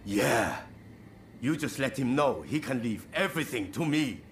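A gruff, folksy fire chief, slow-talking. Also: male voice, mid-range voice, expressive, a little hiss or hum. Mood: agreeable. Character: gruff, folksy, good-natured middle-aged fire chief.

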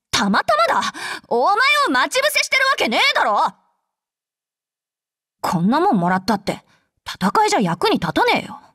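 An actress playing a brash, hot-tempered young female fighter with rough, curt tomboyish speech, in anime game voice lines. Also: sits high, a bit bright, no echo, studio quality, full character voice. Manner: rough, curt masculine speech, easily flustered.

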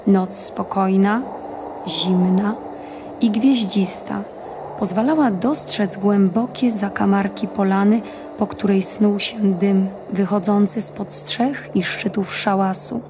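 A woman narrator reading a scripted audio-drama story in a measured, clearly phrased way. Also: medium pitch, fairly even intonation, background noise. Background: music.

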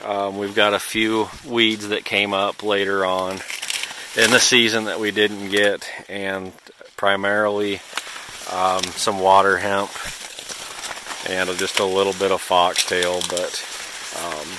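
A man's voice: deep voice, little pitch movement, slow pace, background noise. A man with a Midwestern American accent talks casually and unscripted as a farmer showing his field, in an understated, hedging way, recorded outdoors on a handheld camera. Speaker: farmer hosting a field video. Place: outdoors in a cornfield. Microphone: handheld camera or phone, operator behind it.

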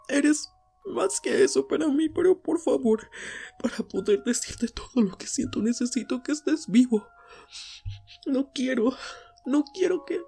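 A woman playing a desperate, grieving teenage boy hero in an anime fandub drama, pleading in halting, repeated phrases. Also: high voice, creaky, warm tone. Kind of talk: Spanish fandub audio drama, emotional acting.

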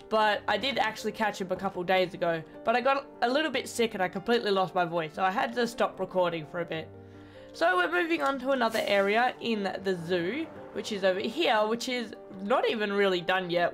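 A woman's voice: a little high, a bit bright. A young woman giving casual, chatty commentary while playing a game and narrating each step. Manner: chatty, upbeat, self-narrating play. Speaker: gaming channel host. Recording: faint background music.

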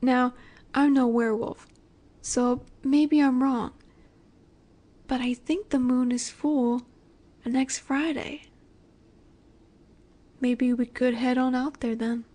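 A woman acting a slow, high-voiced flirty mafia boss. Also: fairly even intonation, dark tone. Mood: coy, flirtatious. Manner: coy, drawn-out teasing with breathy asides. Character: flirty, teasing, confident female mafia boss. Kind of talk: roleplay audio drama.

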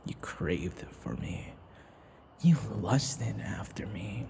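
Man acting a lovesick male vampire, possessive, whispery, gravelly fry, halting sighs, ASMR roleplay, noisy background. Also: fairly low pitch, animated, dark tone, full character voice. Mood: wounded, bitter. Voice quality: breathy, often whispery, creaky. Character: obsessive, lovesick young male vampire lover.

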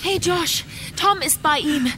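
A woman's voice: very high voice, slow pace, background noise. An actress playing an anxious woman who pleads urgently in a German radio crime drama. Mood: shaken. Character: anxious, pleading young woman afraid for her lover.

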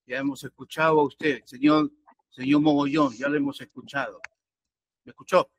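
Older man, presiding judge, medium pitch, dry sound, formal, curt, firm, video call. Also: fairly even intonation, moderate pace, no echo. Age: older, around 60. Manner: curt, firm, cutting the speaker short. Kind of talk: formal courtroom procedure. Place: indoors in an office chair, on a video call. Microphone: video call, seated close to the camera.

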